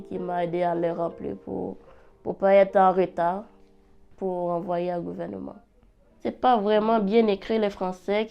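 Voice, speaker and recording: A woman whose first language is not French, an adult literacy learner giving a calm, plainspoken personal testimony. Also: medium pitch, clear, resonant tone. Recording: dull lo-fi recording.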